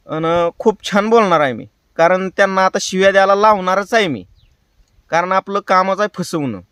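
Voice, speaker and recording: A man's voice: high-pitched, moderate pace, fairly bright tone, clean tone, no echo, studio quality. A man with a rural Maharashtra Marathi accent, hosting prank calls and chatting casually to his viewers with colloquial tag phrases. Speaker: prank call show host.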